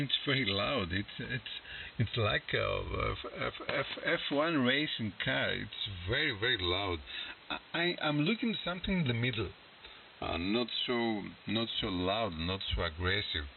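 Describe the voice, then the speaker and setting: Hissy shortwave radio, slow Greek-accented man. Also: on the low side, vocal fry, recorded from a distance, phone-quality sound. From Greece. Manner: halting, searching for words in non-native English. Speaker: amateur radio operator. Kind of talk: casual ham radio conversation.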